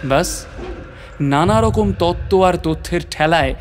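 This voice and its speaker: একজন পুরুষ অভিনেতা প্রাকৃতিক ইতিহাসের অধ্যাপকের চরিত্রে নাটকীয়, রহস্যময় ভঙ্গিতে নিজের অভিযানের গল্প বলছেন।. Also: male voice, high-pitched, measured pace, a little hiss or hum. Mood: grave, suspenseful. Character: male natural history professor recounting his adventure. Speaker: narrator. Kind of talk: dramatic scripted story narration.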